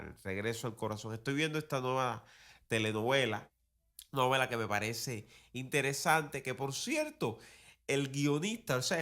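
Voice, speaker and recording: A man from Cuba with a Cuban accent and a mid-pitched voice. He comments conversationally, wandering into asides and stressing his points. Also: fairly bright tone. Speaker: commentator on radio and television soaps.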